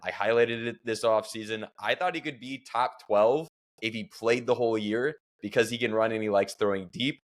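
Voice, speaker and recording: Joking, energetic male podcast host. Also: on the low side, moderate pace. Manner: joking, energetic, leads the show. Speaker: fantasy football co-host. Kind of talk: casual podcast analysis.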